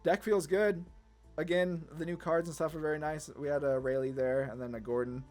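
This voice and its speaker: A man's voice: on the high side, fairly even intonation, brisk, darkish tone, soft background music. A man giving casual, slangy card game commentary, thinking aloud hesitantly as he plays. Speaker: gaming channel host.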